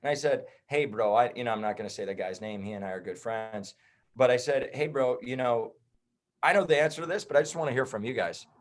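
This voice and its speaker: A man in his thirties talks on a podcast as a guest. He is blunt and heated, and his voice sits at an ordinary middle pitch. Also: a little fast. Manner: blunt, heated, swears and says bro. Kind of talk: casual podcast conversation.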